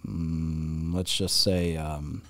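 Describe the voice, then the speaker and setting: A man hosting a podcast, asking questions in a friendly, easygoing way at a studio boom mic. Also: little pitch movement, extremely slow, fairly bright tone, slight background noise. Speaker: podcast host. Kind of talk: casual interview chat. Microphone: studio boom mic with headphones.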